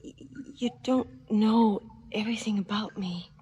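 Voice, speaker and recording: An actress playing a crime boss's wistful, wary mistress in a seventies radio drama, confiding in hushed tones that often slip into whispers. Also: female voice, medium pitch, measured pace, slightly rough, lo-fi sound. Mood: anxious, loving. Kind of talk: 1970s radio drama acting, intimate confessional monologue and dialogue.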